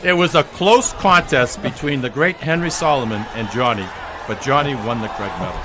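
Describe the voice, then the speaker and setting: A man's voice: mid-range voice, normal speed. A man giving earnest, detailed mock live parade commentary as the straight man of a broadcast team. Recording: noisy outdoor broadcast with crowd behind. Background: crowd and outdoor street noise.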